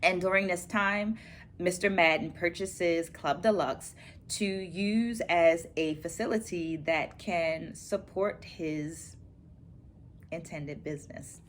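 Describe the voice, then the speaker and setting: Casting: woman, middle-aged, lowish voice, careful educator delivery for a book vlog, noticeable ambient noise. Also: slow pace. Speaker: dance educator and vlogger. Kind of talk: book-review vlog, presenting takeaways to viewers. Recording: clear background noise, room ambience.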